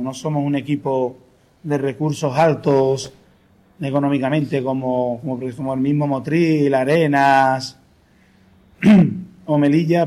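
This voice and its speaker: Football coach, mellow, analytical voice. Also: male voice, mid-range voice, low-quality recording. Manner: measured, analytical, with restarts. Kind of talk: post-match interview.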